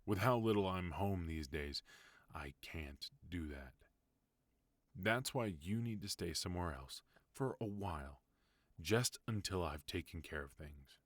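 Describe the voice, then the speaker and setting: Casting: a man as a stern but tender mafia boss husband, very deep voice, dry close mic. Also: expressive, measured pace, no echo. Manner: low, firm, soft-spoken pleading. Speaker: voice actor. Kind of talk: ASMR roleplay.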